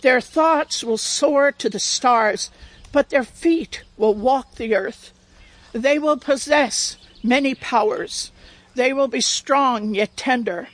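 A woman voicing an ancient, wise storybook turtle in a measured, solemn, reassuring manner. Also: a little high, dry recording. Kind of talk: storybook character reading.

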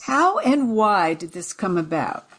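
Woman host of an interview show, mid pitch, asks careful attentive questions. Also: very animated, measured pace, husky.

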